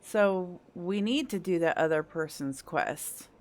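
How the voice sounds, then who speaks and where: A woman's voice: lowish voice, slow pace, a little mellow. A woman giving casual, blunt commentary while playing a video game. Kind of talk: casual let's play commentary.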